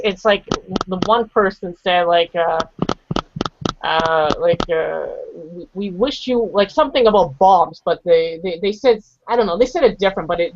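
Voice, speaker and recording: A man's voice: sits high, no room sound. Young man, English teacher, chatty, restarts, handheld mic, small home room, muffled call audio. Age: young adult. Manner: chatty, lots of restarts and "you know", jokey. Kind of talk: casual online group lesson. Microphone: handheld mic held close on a video call.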